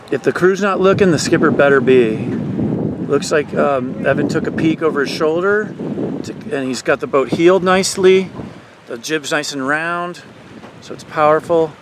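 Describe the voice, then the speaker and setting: A man coaching sailors from a motorboat, explaining technique and calling out commands. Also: fairly high pitch. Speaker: sailing coach. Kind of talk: live on-the-water coaching commentary. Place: outdoors on a motorboat on a lake. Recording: wind noise on camera mic.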